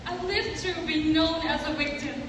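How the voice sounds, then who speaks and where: Anguished young female diarist, woman reading on stage, very high voice, solemn, drawn-out, heavily reverberant hall. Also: steady tone. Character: anguished young female victim writing in her diary. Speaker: student teacher performing in a readers' theatre. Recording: very echoey hall, distant mic, noisy.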